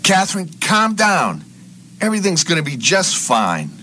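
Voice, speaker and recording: A falsely soothing male captor acted by an amateur speaks slowly and brightly. The reassurance swings widely in pitch, with faint noise behind this drama take. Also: wildly swooping pitch, exaggerated voice. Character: man feigning calm reassurance to frightened captive. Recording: slightly noisy amateur room recording.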